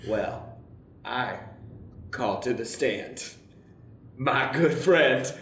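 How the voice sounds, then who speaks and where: A man's voice: medium pitch, animated, very slow, a bit bright, a little hiss or hum, exaggerated voice. A man playing a bumbling, loyal ugly wizard in an improvised radio play, hesitant and mock-dramatic. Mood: hesitant objection. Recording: echoey room, music behind.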